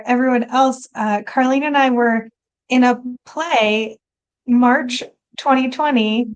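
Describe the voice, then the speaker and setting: A woman's voice: fairly high pitch, steady tone, slow, smooth, lo-fi sound. A woman actor chatting casually in a giggly, talkative way on a video call. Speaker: stage actor.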